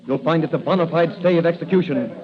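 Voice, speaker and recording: An actor playing a bold, commanding masked crime-fighter with declamatory delivery in a 1940s radio drama. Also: male voice, on the high side, fast, crowd noise, lightly acted. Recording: noisy, muffled 1940s radio recording.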